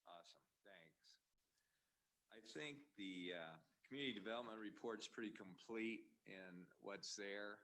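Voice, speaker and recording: Low bright-voiced mayor chairing a meeting. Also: male voice, a little slow. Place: council chamber.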